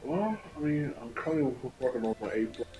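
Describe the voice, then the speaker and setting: A man's voice: mid-range voice, animated, fairly quick, low-quality recording. A man chatting in a casual, colloquial way over an online voice call. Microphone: online voice chat. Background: video game music.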